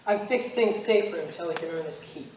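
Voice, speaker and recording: A man's voice: on the high side, darkish tone, close to an everyday voice. A young man playing a grave, relentless, centuries-old stranger in an amateur stage play, speaking in a solemn, declamatory way. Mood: solemn, accusing. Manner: solemn, deliberate, declamatory storytelling. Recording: roomy, noisy stage recording from far off.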